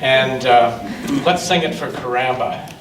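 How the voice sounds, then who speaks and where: A man reading a children's story aloud with expression, in measured phrases and with chatty asides to young listeners. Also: mid-range voice, noisy background. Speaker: storyteller at a church gathering. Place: large indoor church room. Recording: roomy church recording, distant mic.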